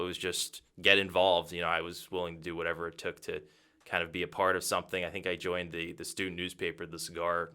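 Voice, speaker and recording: Deep-voiced young man with a crisp, bright tone. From Massachusetts. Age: 20s. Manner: measured and thoughtful, frequent "um" and "you know". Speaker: radio play-by-play broadcaster. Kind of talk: interview answers.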